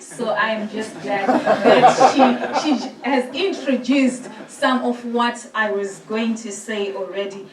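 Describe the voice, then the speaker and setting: A woman from Zimbabwe, a priest giving a formal address and reading scripture in a measured, gentle way. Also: medium pitch. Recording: roomy hall sound from a distant mic.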